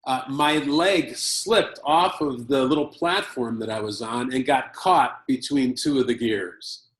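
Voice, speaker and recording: An American man from Chicago telling a personal story at a conference, speaking in short phrases and pausing for his interpreter. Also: medium pitch. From Chicago, United States. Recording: roomy echo.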